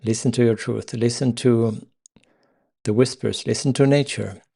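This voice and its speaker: Slow, hesitant Swedish-accented man. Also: medium pitch, fairly even intonation, vocal fry, dark tone, breathy edge. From Sweden. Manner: slow, hesitant, many fillers and pauses. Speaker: spiritual live-stream host. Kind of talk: unscripted spiritual talk.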